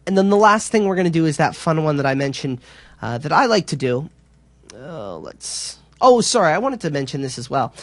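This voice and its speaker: A man presenting a software tutorial in a casual, informal way, explaining step by step a little hesitantly. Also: fairly high pitch, very animated, brisk, dry recording.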